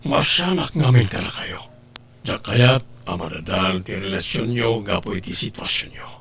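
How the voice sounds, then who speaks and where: A man playing an earnest lover and confidant in an Ilocano radio drama, with a husky voice. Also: fairly low pitch, lively intonation, moderate pace, a bit bright, slight background noise. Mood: earnest, persuasive.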